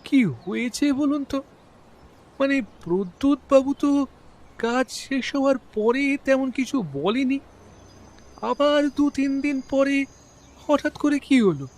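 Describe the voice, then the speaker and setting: Man playing a slow, high-voiced, defensive labour contractor. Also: lively intonation, a little mellow, exaggerated voice. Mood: worried, defensive. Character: defensive male labour contractor explaining himself to a detective. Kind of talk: dramatized audio detective story.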